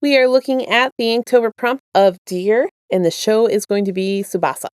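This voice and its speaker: A woman's voice: medium pitch, moderate pace, smooth, no room sound, clean studio sound. A woman narrating her own written fiction as an amateur audio story reader. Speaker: podfic writer and narrator. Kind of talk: casual intro and scripted story narration.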